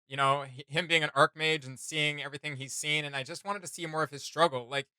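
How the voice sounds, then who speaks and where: Bright, forceful man talking casually. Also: on the high side, steady tone, smooth, no echo, clean studio sound. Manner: emphatic, halting and repetitive, informal. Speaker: reaction video host. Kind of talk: casual opinionated reaction chat.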